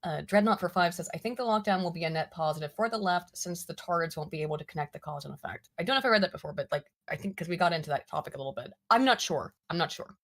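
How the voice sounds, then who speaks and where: Animated, rambling woman stream host. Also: fairly low pitch, fast, few pauses. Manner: animated, rambling, many restarts and self-corrections. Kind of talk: casual political chat. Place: lives in the UK.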